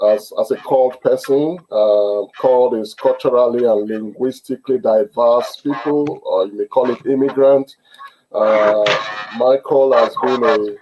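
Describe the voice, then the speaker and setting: A man hosting an online interview over a video call, speaking haltingly with many fillers and restarts. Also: mid-range voice, noisy background. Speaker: host.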